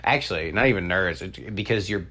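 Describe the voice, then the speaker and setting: A man's voice: sits low, expressive, a little fast, bright, forceful tone. A man hosting a podcast in casual comedic chat, speaking in a profane, slangy, opinionated way. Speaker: podcast host. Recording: clearly noisy, humming background.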